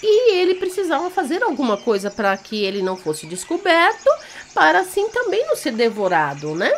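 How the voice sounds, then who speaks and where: A woman's voice: high-pitched, huge pitch swings, a little fast, slight background noise. A woman with a Brazilian accent telling a children's story off the cuff, in a theatrical, teacherly way, checking in with young listeners. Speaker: children's storyteller and party entertainer.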